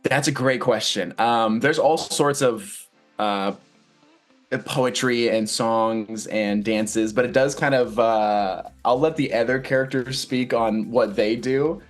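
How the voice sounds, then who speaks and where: A man, an actor, talking casually in an interview with an animated, playful, enthusiastic manner. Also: medium pitch, takes it slowly, faint music behind. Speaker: stage actor being interviewed.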